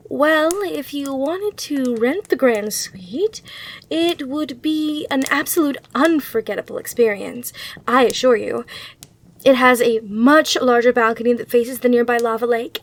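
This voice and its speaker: A woman playing a gracious, eager demon hotel receptionist in an ASMR roleplay, with polite, reassuring customer-service patter. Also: on the high side. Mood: delighted, persuasive.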